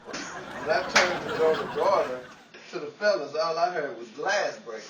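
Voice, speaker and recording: A man's voice: on the high side, expressive, moderate pace, natural voice. A man playing a hapless, eager show-business hopeful who banters and pleads in old-time radio comedy style. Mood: nostalgic banter. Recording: echoey, distant, noisy amateur recording.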